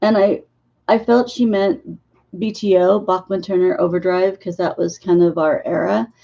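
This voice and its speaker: A woman in her fifties, hoarse and losing her voice, talking haltingly about her dream visions as a psychic in a casual monologue to camera. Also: fairly low pitch, steady tone, dark tone, dull audio. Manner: halting, with many filler pauses.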